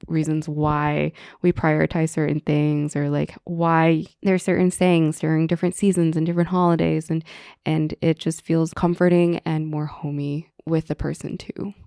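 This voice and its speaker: A young woman's voice sits low and crackles with vocal fry as she chats on a podcast. Her first language was Chinese, and her talk is loose, filler-heavy and punctuated by giggles. The sound is dry and close to the mic. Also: little pitch movement, normal speed, mellow, no echo. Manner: chatty, giggly, lots of "like" fillers. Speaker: podcast co-host. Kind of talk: casual podcast chat.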